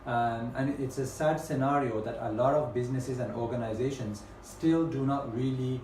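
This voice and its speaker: A British man from the UK hosting a webinar, speaking in a measured way with frequent ums and uhs. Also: mid-range voice, a little mellow, a little hiss or hum. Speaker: webinar host. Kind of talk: presenting a webinar. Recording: echoey room, mic at a distance.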